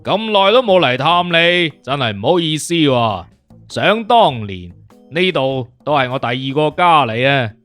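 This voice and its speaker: A man acts out a smug, bossy male detective. His voice sits fairly high and swings around with slangy swagger, over light background music in an audiobook. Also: very animated, unhurried, a bit bright, no room sound. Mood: jovial. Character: cocky, teasing male detective consultant, clever and bossy.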